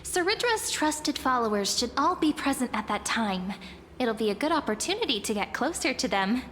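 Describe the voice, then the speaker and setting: Game companion: young woman adventurer, dutiful but playful, high-pitched, cheerful, heard in a reverberant hall. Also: big pitch swings, moderate pace, fairly bright tone, slight background noise. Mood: upbeat, encouraging. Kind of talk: video game voice acting.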